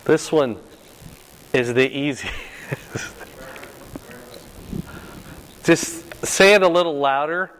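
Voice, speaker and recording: An older man with a loud voice leading a Bible class, quizzing his group and pressing them to answer. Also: a little high, very slow, long pauses, slight background noise. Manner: questioning, repeats and presses for answers. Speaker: Bible study teacher. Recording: reverberant room.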